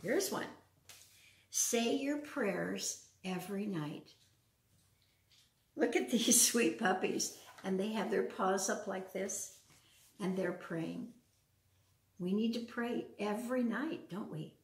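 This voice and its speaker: An older woman reading a picture book aloud to young children, pausing for simple questions and chatty asides in a living room. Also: mid-range voice. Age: older, around 70s. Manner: simple, child-directed talk with frequent questions. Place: large open living room with wood floor, indoors. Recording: roomy echo, distant from the mic.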